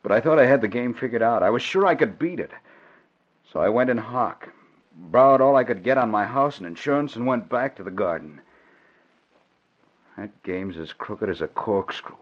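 A man's voice: mid-range voice, lively intonation, normal speed, natural voice. An actor playing a bitter, despairing man ruined by gambling, in 1940s radio drama style. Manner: defeated, embittered, emphatic. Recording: dull old radio recording.

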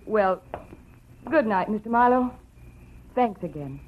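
A woman's voice: mid-range voice, lively intonation, takes it slowly. A woman playing an admiring, grateful client in a 1940s radio detective drama. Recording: noisy, tinny old radio recording.

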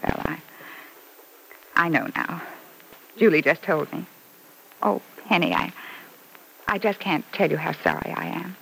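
An actress playing an emotional, sharp-tongued mother with a melodramatic, halting delivery in an old-time radio serial. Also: on the low side, vocal fry, breathy edge, full character voice, rough recording. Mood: remorseful, pleading. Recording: old radio broadcast, faint hiss.

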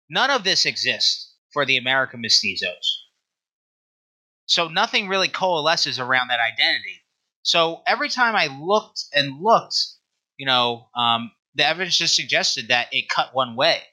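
A man speaking as an academic interview guest, explaining research in an emphatic, halting way with repeated phrases. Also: mid-range voice, pushed and bright, rough recording. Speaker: guest author and historian discussing own book.

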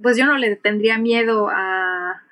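A woman's voice: medium pitch, unhurried, fairly bright tone. Middle-aged Mexican woman explaining conversationally. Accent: Mexican Spanish. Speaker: interview guest, pilot and aviation English instructor. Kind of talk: conversational interview answers.

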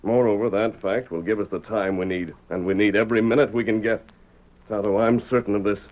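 Male actor, resolute cowboy hero, fast earnest delivery, American, formal diction, dull vintage radio sound. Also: lowish voice, fairly even intonation, close to an everyday voice. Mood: grave, determined. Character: resolute, fair-minded masked Western hero. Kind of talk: 1940s Western radio drama acting. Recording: dull old radio recording.

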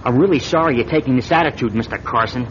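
A man's voice: quick pace, natural voice. An American man playing an earnest, timid research scientist in a radio drama, hesitant and stammering. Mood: troubled, reproachful. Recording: muffled radio recording with faint rumble.

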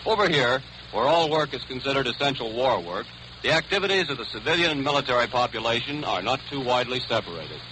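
A man's voice: medium pitch, lively intonation, fast, sharp tone, rough recording. An American man, an army sergeant, delivering a formal scripted report in a 1940s radio broadcast. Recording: hissy vintage 1940s overseas radio broadcast.

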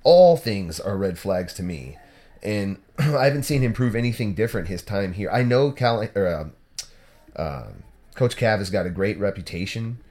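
A man's voice: fairly low pitch, expressive, moderate pace, darkish tone. A man co-hosting a football podcast, rambling and self-deprecating, with lots of "you know". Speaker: podcast co-host. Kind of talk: casual football chat.

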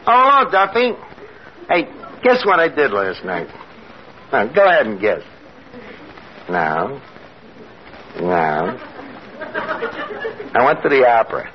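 A man's voice: mid-range voice, very animated, slow, long pauses, some chatter behind. An actor playing a brash, streetwise tavern manager who mangles his words in a 1950s radio comedy. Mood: wisecracking. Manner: streetwise, malapropisms, wisecracking. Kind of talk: 1950s radio comedy acting before a live audience. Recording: old dull radio recording, loud hiss, audience.

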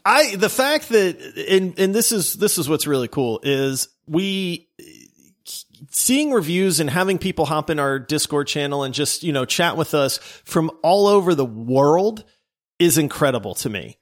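A man's voice: fairly high pitch, animated, measured pace. A man co-hosting a casual gaming podcast, enthusiastic and prone to stumbling restarts. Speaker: podcast co-host.

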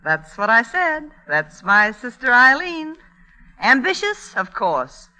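An actress playing a wry, worldly older sister with a dry, sardonic manner in a 1940s radio comedy. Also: female voice, fairly high pitch, sing-song, slow, pushed and bright, heavily acted, low-quality recording. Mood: wry, introducing. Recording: vintage radio broadcast recording.

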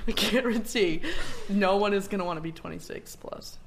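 A woman's voice: mid-range voice, moderate pace, background noise. A woman of twenty-five, a podcast guest chatting and joking with friends. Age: 20s. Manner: joking, laughs often. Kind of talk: casual chat.

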